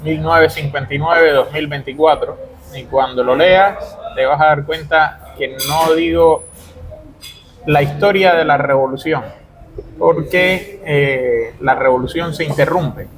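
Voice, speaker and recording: Over clear crowd noise, a Cuban man speaks in a fairly high voice. He answers an interviewer as an author would, explaining in detail and circling back to correct himself. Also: a little slow, rough recording. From Cuba.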